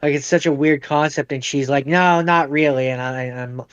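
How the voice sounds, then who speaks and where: A man with a smooth, fairly high voice chats as a podcast co-host. He restarts and repeats words as he goes, and slips into imagined quotes. The sound is very dry, with no room around it. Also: steady tone, moderate pace, dry recording, dull audio. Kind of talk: casual pop-culture review chat.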